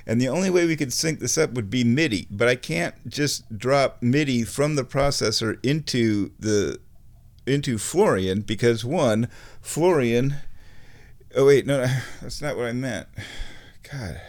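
A man giving a casual, unscripted music gear demonstration, thinking aloud in a rambling, halting way. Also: medium pitch.

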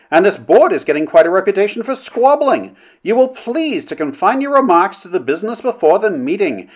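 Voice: medium pitch, pitched above the performer's own voice. A narrator voicing an imperious princess in a story, scolding formally and with command. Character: stern, imperious princess chairing a meeting.

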